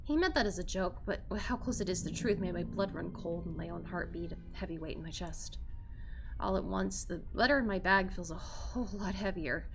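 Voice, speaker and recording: A woman's voice: on the low side, a little fast, creaky, rough recording. A woman streamer reading a visual novel aloud with theatrical, casually sweary live commentary. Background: game music.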